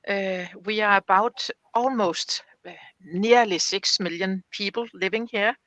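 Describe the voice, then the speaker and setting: A woman from Denmark with a Danish accent, a guest on a video call, speaking careful, halting English with many pauses. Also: mid-range voice, a bit bright, dry recording. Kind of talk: casual video call interview.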